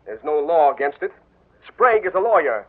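An actor playing a crooked, boastful gambler in an old radio drama, talking loud and defiant. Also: male voice, a little high, very animated, a little fast, phone-quality sound. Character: blustering crooked male gambler, middle-aged. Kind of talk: 1940s radio acting. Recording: thin old radio broadcast.

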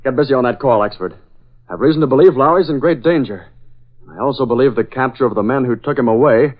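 An actor playing a serious, authoritative newspaperman sleuth in an old radio drama, crisp and commanding. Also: medium pitch, quick pace, lightly acted. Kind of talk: 1940s radio acting. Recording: muffled vintage radio recording.

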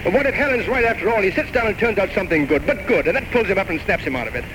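A man's voice: sits high, animated, racing. An actor playing a tormented, grandiose alcoholic writer in his thirties, rambling theatrically and bitterly mocking himself in an old radio drama. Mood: fervent. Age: 30s. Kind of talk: 1940s-1950s radio drama acting. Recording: noisy old radio broadcast recording.

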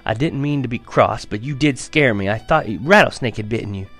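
A man's voice: mid-range voice, brisk, fairly bright tone, faint music behind. Man voicing an anxious, scolding farm mother. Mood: soft, apologetic.

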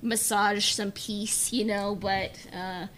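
Female podcast panelist, average pitch, loose and hesitant conversational delivery, faint rumble behind. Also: steady tone, takes it slowly, a bit bright. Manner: hesitant, peppers talk with "like". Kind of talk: casual chat.

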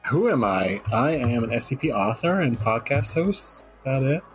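A man's voice: mid-range voice, very animated, moderate pace, faint music behind. A man from the United States hosting a podcast, talking casually. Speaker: podcast host.

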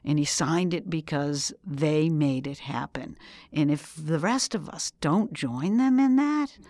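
A woman in her sixties with a Boston accent, speaking as an interview guest in an emphatic, animated way with frequent laughs. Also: deep voice, measured pace, no room sound. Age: 60s. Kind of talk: energetic conversational interview.

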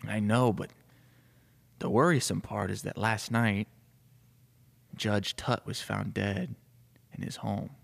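A man voicing a grizzled, stressed vampire police chief in a slow, grave, hushed, deep and gravelly voice. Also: fairly even intonation, heavily acted. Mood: grave, worried. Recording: reverberant room.

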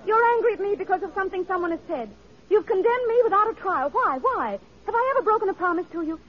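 An idealistic woman reformer in a vintage radio drama. Her voice is high, genteel and full of earnest feeling. The recording is dull and noisy, with a crowd behind her. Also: animated, fast. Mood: desperate pleading. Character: earnest, determined woman settlement-house reformer, idealistic and pleading. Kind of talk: old-time radio drama acting.

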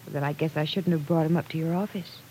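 A woman's voice: deep voice, steady tone, fast talker, dark tone, slight background noise, natural voice, rough recording. An actress playing an earnest, persuasive young businessman's daughter in an old radio drama, pleading and insistent. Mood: insistent, coaxing. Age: young adult.

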